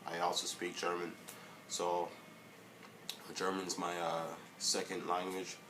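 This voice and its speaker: A young man giving a casual motivational vlog monologue, halting, with many fillers and restarts. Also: deep voice, completely flat delivery, pushed and bright, slight background noise, rough recording. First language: English. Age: young adult. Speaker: vlogger.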